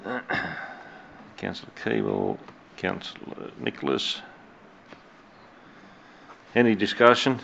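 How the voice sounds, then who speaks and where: Deep-voiced Australian mayor chairing. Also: male voice, very animated, gravelly, fairly bright tone, lo-fi sound, a little hiss or hum. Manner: measured, hesitant with many fillers. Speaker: mayor chairing a council meeting. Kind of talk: formal meeting chairing. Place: council chamber.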